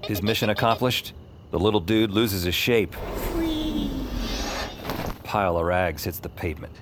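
An actor playing a weary, self-mocking noir hero, narrating in the first person in an audio drama with a dry, wry manner. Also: male voice, fairly low pitch, a little slow, noisy background, lightly acted. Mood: brooding. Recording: voice over music.

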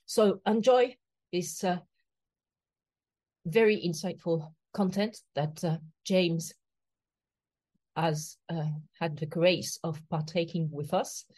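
Female interview host, lower register, halting, filler-heavy delivery. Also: darkish tone. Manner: hesitant, many fillers and restarts. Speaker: podcast host. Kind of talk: casual interview. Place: home in London.

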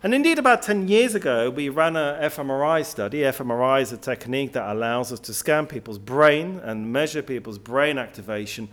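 A man's voice: medium pitch, lively intonation, fairly quick, hardly any pauses, fairly bright tone. A middle-aged man from Switzerland giving a public lecture, speaking emphatically with occasional restarts. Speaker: guest speaker.

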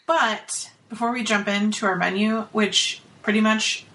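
A woman's voice: mid-range voice, steady tone, unhurried, bright, forceful tone, poor audio. A woman co-hosting a casual podcast, chatty and upbeat as she keeps the conversation moving and brings in a guest. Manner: chatty, upbeat, runs the interview. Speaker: podcast co-host.

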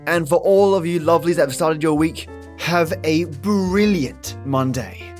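A man's voice: a little high, unhurried. A man with an Australian accent hosting a podcast, chatty and scripted, with an effusive, chipper manner. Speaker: podcast host. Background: guitar music.

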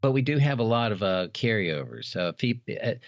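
A man in his sixties with an American accent and a low-pitched voice. He talks as an interview guest, telling stories and explaining things conversationally. The recording is dull and lacking in detail. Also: very animated, normal speed. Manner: reminiscing, explanatory. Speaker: podcast guest, haunted house operator. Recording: dull, roomy, echoey sound.

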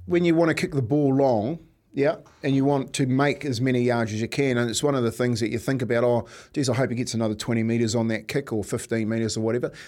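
A man's voice: mid-range voice, steady tone, fast, a little mellow. A man giving casual banter and analysis as a sports radio pundit.

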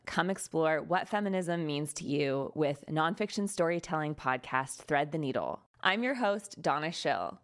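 Deep-voiced woman reading a promo. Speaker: podcast host and journalist. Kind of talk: scripted podcast promo read.

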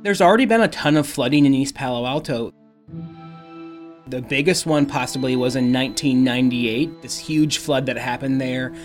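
A man's voice: mid-range voice, normal speed. Man reporting, music running underneath. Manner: casual explanatory, with fillers. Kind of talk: radio news feature narration.

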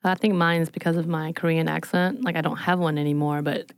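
A young woman co-hosts a podcast with lively, giggly chat. Her voice sits a little low, and she pushes up sharply when something surprises her. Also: fairly quick, clean tone. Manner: animated, giggly, rising exclamations. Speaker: podcast co-host.

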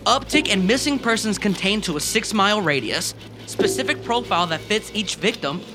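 Animated young male amateur sleuth. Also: high-pitched, normal speed. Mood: excited, insistent. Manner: eager, dramatic, insistent. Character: keen office colleague and amateur sleuth chasing a serial killer. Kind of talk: audio drama dialogue. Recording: music under the voice.

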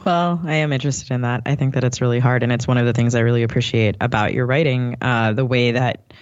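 A man's voice: medium pitch, fairly even intonation, fast talker, dry recording. A man co-hosting a podcast, interviewing conversationally and building up to his questions in a tentative, thoughtful way. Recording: dull lo-fi, dry sound.

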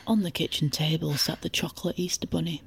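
A woman narrating a scripted audio drama in a deadpan, storytelling manner. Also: low voice, fast, creaky, a little hiss or hum. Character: wry female storyteller narrating an audio fiction.

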